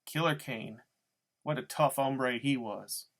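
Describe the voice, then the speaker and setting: A man voicing a gruff, scornful, curt tough guy with slangy speech in a story reading. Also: medium pitch, slow, a bit bright. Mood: menacing.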